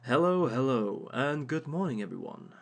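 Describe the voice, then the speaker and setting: A man playing a smug, gravelly villain lecturer. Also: medium pitch, very animated. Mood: welcoming. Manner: dry, teasing, unhurried, talks to unseen listeners. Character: smug male villain lecturing a dating workshop. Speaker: workshop speaker. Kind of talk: audio roleplay monologue.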